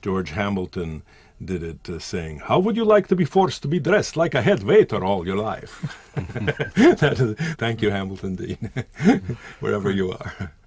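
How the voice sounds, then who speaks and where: A man telling an anecdote in an interview, animated and chuckling. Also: lowish voice, unhurried, husky. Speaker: interviewed commentator. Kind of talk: casual anecdotal commentary.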